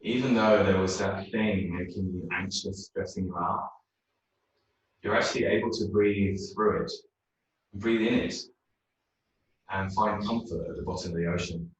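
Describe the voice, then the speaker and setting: A man leading a guided meditation in a yoga class, speaking slowly and soothingly. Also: deep voice, steady tone. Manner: slow, soothing, unhurried. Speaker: yoga teacher. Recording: very echoey room, mic far off.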